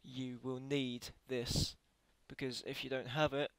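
A man narrates a casual screen-recorded tutorial in a slightly low voice with noticeable creak. He speaks haltingly and corrects himself as he works. The recording is dry and close. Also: flat delivery, no echo, low-quality recording. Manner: halting, self-correcting while typing. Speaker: tutorial creator.

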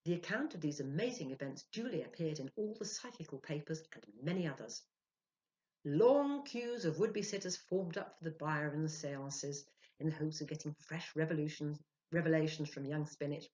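A woman in her sixties giving a theatrical, expressive dramatic reading of a story as a professional storyteller. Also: deep voice, normal speed. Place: small indoor room. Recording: dull lo-fi webcam sound.

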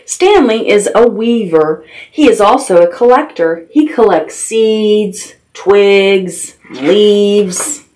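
A middle-aged woman hosting a children's storytime, reading picture books aloud and adding simple, expressive questions and asides for toddlers. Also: mid-range voice, slow pace, hardly any pauses. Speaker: children's library storytime host. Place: small decorated indoor room with puppets.

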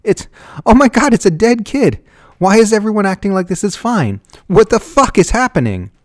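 A horrified woman, acted out by a male narrator, pitched far up and at the edge of hysteria. The delivery is shrill shrieking and wailing, swinging widely, recorded close and dry, as in a satirical story reading. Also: big pitch swings, normal speed, dry recording. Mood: panicked.

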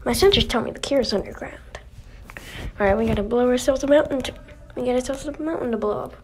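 A woman acting out dialogue for an amateur animated story, dramatic and sometimes restarting her scripted lines. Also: a little high, fast talker, slight background noise.

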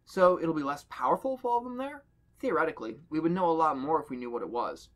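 A man's voice: fairly high pitch, animated, fast talker. Man, podcast host, reads story aloud, quips between paragraphs. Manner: reads dialogue aloud, jokes between passages. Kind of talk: casual reading with banter.

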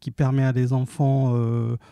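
Halting, gravelly man, slow and dark. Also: mid-range voice, little pitch movement, dry recording. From Algeria. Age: 50s. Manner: halting, many restarts and fillers. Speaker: author guest. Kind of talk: hesitant interview answers. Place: cultural institute stage, indoors. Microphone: handheld mic.

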